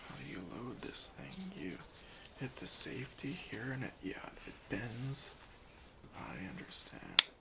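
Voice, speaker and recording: A man whispering an ASMR roleplay as a deadpan airport security officer, breathy and deliberate, with pauses. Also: medium pitch, noisy background. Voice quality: breathy whisper with some fry. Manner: slow, hushed, deliberate, with pauses.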